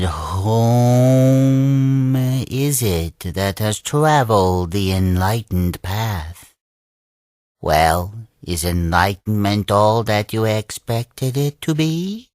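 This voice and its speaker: An actor playing an old, serene creature king in a video game, speaking in a solemn, measured, mystical way. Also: medium pitch, darkish tone, dry recording, clean studio sound. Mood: serene, questioning. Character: old, serene, sage-like Oni king telling his people's tale. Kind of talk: video game cutscene storytelling.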